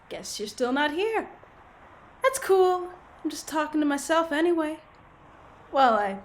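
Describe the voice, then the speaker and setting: A woman's voice: high voice, pushed and bright, full character voice. A woman voicing a cocky, foul-mouthed male villain in a comedic audio play, taunting and boasting in a hammy way.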